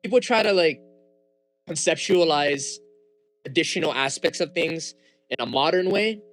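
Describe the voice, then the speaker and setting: A young man with a Hawaiian accent, a guest in a relaxed interview, talking loosely with slang and frequent restarts. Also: fairly high pitch, moderate pace, faint music behind, low-quality recording. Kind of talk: casual conversational interview.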